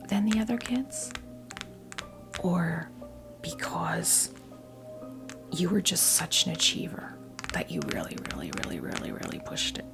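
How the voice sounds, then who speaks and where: An American woman in her fifties giving a tarot reading as ASMR role play, whispering softly in a halting, gently reassuring way. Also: fairly low pitch. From New Jersey, US. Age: 50s. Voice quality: whispered, breathy soft voice. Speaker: ASMR performer playing a tarot reader.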